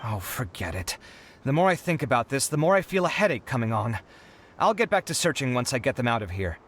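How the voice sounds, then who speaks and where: A man's voice: medium pitch, very animated, fairly quick, a bit bright, a little hiss or hum. Theatrical, exasperated young male inventor. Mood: conflicted, resigned. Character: harried young male inventor, dramatic, put-upon, kind-hearted. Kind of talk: animated video game character acting.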